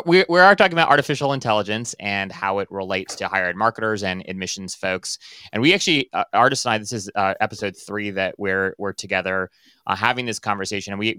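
A man's voice: fairly low pitch, pushed and bright, clear, resonant tone, no room sound. A man hosting a podcast, introducing the series and chatting with a guest in an upbeat, rambling way with many restarts. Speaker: podcast host. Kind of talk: casual podcast interview.

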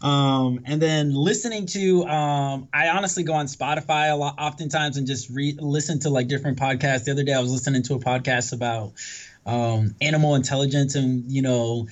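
A man born in the Dominican Republic, a podcast guest talking in a reflective, casual way, often tagging his points with "right?" and filling pauses with "um". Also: mid-range voice, steady tone, dull audio. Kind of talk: casual conversational interview.

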